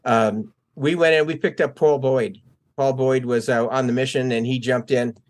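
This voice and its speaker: Older man, veteran interview guest. Mid-range pitch, conversational, anecdotal, slips into remembered dialogue. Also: fairly even intonation, moderate pace. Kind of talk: casual war-story telling.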